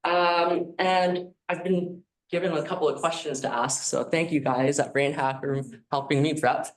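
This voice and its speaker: A man's voice: on the high side, fairly even intonation, normal speed. A man moderating a panel discussion, introducing speakers and asking questions in a casual way. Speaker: moderator, data scientist.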